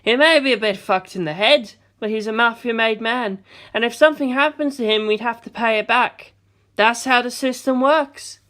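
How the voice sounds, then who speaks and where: A woman voicing a short-tempered young male gunman who drawls and then yells in a raised voice. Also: a little high, moderate pace, voice pushed up, lightly acted. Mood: stern.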